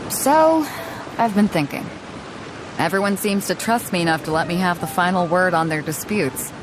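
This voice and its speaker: A woman's voice: lowish voice, moderate pace, background noise, close to an everyday voice. An actress voicing a confident, laid-back female ship captain in a game cutscene, laughing easily. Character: bold, hearty female ship captain, easygoing. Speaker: game character. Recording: background game music under the voice.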